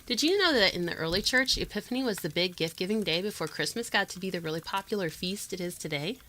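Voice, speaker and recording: A woman playing a mother who gently tells a legend to children in an audio drama. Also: on the low side, expressive, fast talker, a little hiss or hum. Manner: gentle, explanatory storyteller.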